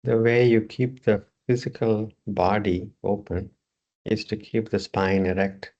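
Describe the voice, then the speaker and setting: A man teaching yoga, slowly and calmly guiding a relaxation meditation in short, spare phrases. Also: on the low side, lo-fi sound. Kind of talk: guided relaxation meditation instruction.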